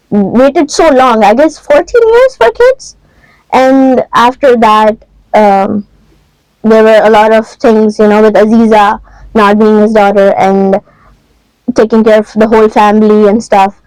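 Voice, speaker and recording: Clear-voiced young woman discussing a book. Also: on the high side, a little mellow, no room sound, poor audio. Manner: thoughtful, hesitant with many ums. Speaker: podcast guest.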